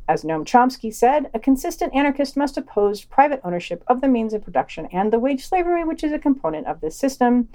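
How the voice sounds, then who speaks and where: A woman's voice: sits high, big pitch swings, fast talker, hardly any pauses, mellow. A woman hosting a podcast, reading scripted commentary and quotations with wry, dry asides. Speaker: podcast host.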